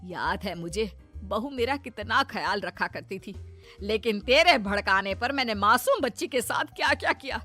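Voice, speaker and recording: A middle-aged mother-in-law, acted out by a woman storyteller, bossy but soft at heart. Her voice is forceful and swings widely in pitch, scolding and motherly, over clear storybook music. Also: expressive, normal speed. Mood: firm, indignant.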